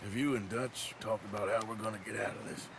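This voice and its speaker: An actor playing a rugged, weary outlaw gunman with a gruff, dry manner, from a video game. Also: lowish voice, steady tone, quick pace, noisy background, lightly acted. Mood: wry.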